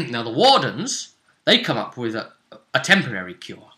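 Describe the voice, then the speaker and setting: A man running a tabletop roleplaying game as game master, recapping the story casually in a halting, emphatic way, repeating phrases as he goes. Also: mid-range voice, huge pitch swings, pushed and bright.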